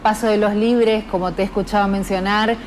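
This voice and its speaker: A woman's voice: mid-range voice, fairly even intonation, a little fast, a little hiss or hum. A woman with an Argentine Spanish accent, interviewing a guest attentively and giving frequent short acknowledgements. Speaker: interviewer host. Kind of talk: interview conversation.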